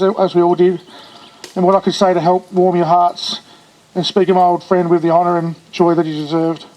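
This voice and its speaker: Man, high and level-voiced, earnest, stumbling eulogy. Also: a little mellow, rough recording. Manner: earnest, halting with restarts. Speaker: eulogist, childhood friend. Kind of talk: funeral eulogy.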